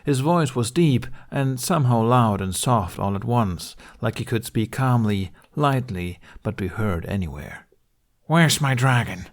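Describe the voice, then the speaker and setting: Low-voiced man narrating a dramatic audiobook. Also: expressive, unhurried, hardly any pauses. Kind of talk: dramatic scripted fiction narration.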